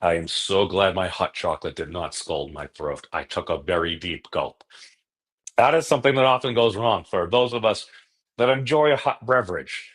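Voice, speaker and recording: Male livestream host, lowish voice. Casual, digressive, jokey and happy to poke fun at himself. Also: normal speed, a bit bright. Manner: self-deprecating, jokey, digressive. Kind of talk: casual rambling livestream chat.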